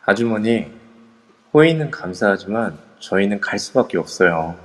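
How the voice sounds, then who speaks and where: A man voices a determined young man who leads a small group. He speaks politely but firmly in a lowish voice. It rings with long reverb from a phone voice chat. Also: expressive, normal speed, lightly acted. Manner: polite and firm.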